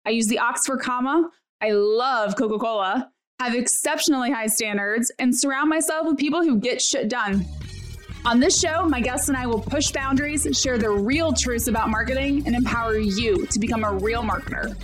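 A woman's voice: a little high, moderate pace, soft background music. A woman host delivering a bold, brash scripted show intro.

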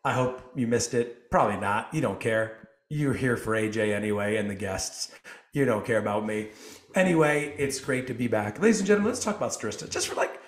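A man hosting a podcast, full of playful, self-mocking banter and showman-like flourishes. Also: medium pitch. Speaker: podcast host. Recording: roomy echoey sound.

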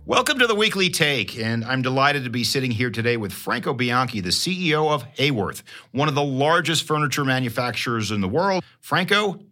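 A man's voice: mid-range voice, a little fast, few pauses. A man hosting a podcast, reading a polished scripted intro and then asking interview questions. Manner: polished, expressive delivery. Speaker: podcast host.

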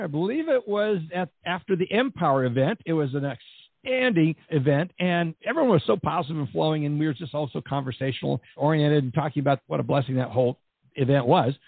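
Male podcast host, lively and effusive, slightly husky voice, thin phone-like sound. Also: a little high, fairly quick, dry recording. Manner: enthusiastic, effusive. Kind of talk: interview podcast. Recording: dry, phone-like band.

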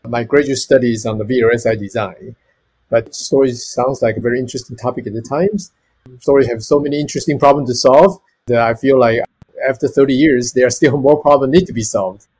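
A man's voice: medium pitch, a little fast, a little mellow, husky, rough recording. Earnest man in his fifties from Taiwan. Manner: earnest, lists many examples. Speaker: storage technology executive. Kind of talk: podcast interview answers.

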